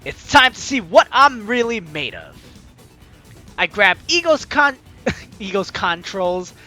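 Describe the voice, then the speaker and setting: A woman hosting a let's play, chatty and excitable, reading game narration aloud with drama and commenting as she goes. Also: medium pitch, takes it slowly. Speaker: gaming video host. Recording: game music behind the voice. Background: game music.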